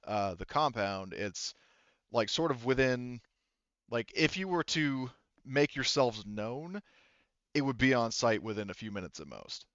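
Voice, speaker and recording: A man working as game master of a tabletop roleplaying game, narrating casually and haltingly, correcting himself as he explains on a headset. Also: mid-range voice, expressive, fairly bright tone, dry recording, muffled recording.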